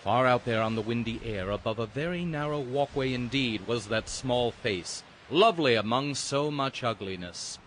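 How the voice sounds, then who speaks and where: A man reading dramatic storybook narration in a lyrical, literary and expressive manner. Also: medium pitch, normal speed, hardly any pauses, a little hiss or hum, lightly acted, rough recording. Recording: music under the voice. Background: music.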